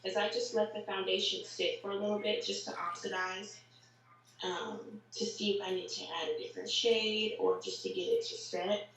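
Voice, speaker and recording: A woman with a slightly raspy, tired voice after a long workday, chatting casually with lots of fillers as she explains each step of a makeup tutorial. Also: medium pitch, steady tone, normal speed, a bit bright, far from the mic. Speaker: makeup vlogger. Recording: roomy echo, voice set back from the mic, faint hiss.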